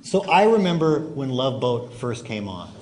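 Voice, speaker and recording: A man's voice: mid-range voice, sing-song, normal speed, darkish tone. A man interviewing guests as host of a live panel on stage. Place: large hall stage, before an audience. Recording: noisy live hall recording.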